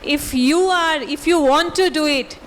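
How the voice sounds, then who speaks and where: A woman's voice: sits high, measured pace, slight background noise. A woman, a principal on a panel, giving students direct, motherly advice. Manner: motherly, direct, addresses students as dear children.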